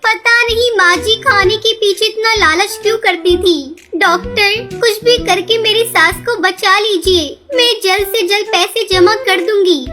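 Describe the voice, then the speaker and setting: Cartoon casting: woman to play a sensible, earnest young bird wife, tiny very high voice, music underneath. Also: a little slow, hardly any pauses, sharp tone, exaggerated voice. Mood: worried, pleading. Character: kind, sensible young female bird daughter-in-law. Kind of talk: children's cartoon voice acting.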